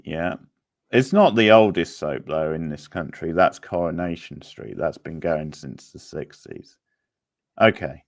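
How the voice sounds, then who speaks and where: A man's voice: extremely low pitch, animated, unhurried, creaky. A man hosting a quiz in a casual, laid-back, chatty manner. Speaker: quiz host. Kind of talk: casual live quiz chat.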